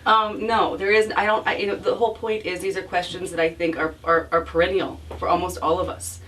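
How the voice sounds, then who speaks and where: Halting, reflective woman, recorded from a distance. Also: lowish voice, flat delivery, fairly bright tone. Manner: halting, self-deprecating, reflective, restarts phrases. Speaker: author being interviewed about her book. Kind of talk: informal spoken book talk with audience. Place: bookstore event. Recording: distant mic, faint room noise.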